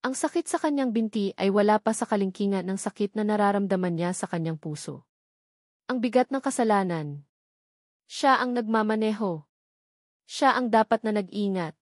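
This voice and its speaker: A woman's voice: medium pitch, a little mellow, dry recording, clean studio sound. Woman narrating a dramatic love story. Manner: earnest, measured storytelling.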